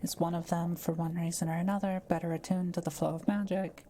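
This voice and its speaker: A woman playing a kind, curious forest witch in an intimate audio roleplay, speaking gently and thoughtfully with polite, measured phrasing. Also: fairly low pitch, little pitch movement, slight background noise, close to an everyday voice. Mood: warm, thoughtful. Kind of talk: intimate scripted audio roleplay addressed to the listener.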